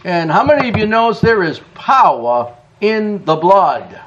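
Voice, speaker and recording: A middle-aged man preaching a sermon in a church, emphatic, with quick chuckling asides. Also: high-pitched, animated, fairly bright tone, muffled recording, slight background noise. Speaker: pastor. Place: church sanctuary.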